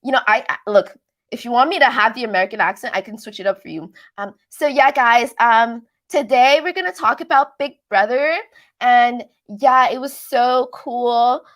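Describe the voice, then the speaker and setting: Higher-pitched woman, casual rambling livestreamer. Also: moderate pace, a bit bright. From Canada. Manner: rambling, self-deprecating, stumbles over words; playfully switches between accents. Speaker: livestream host of a reality TV recap channel. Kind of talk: casual live chat, answering viewer comments.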